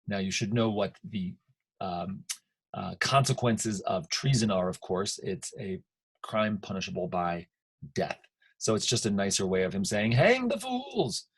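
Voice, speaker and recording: A man's voice: sits low, fairly even intonation, unhurried, slightly rough. An American man giving an informal history lecture as a teacher, conversational and emphatic, checking in with his listeners.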